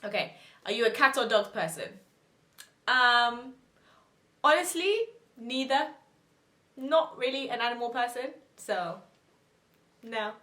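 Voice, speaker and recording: A young woman from Zimbabwe talks to her camera in a casual get-to-know-me vlog, chatty, giggly and quick to poke fun at herself. Her voice sits a little high, and the room around her rings with echo. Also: animated, sharp tone. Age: 20s. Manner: chatty, giggly, self-deprecating. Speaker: YouTuber.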